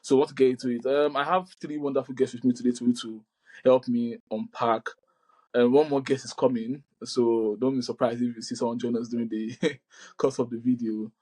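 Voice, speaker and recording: A man's voice: medium pitch, level tone, brisk, a little mellow. A man hosting a casual podcast over a video call, talking loosely with many fillers and restarts. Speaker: podcast host.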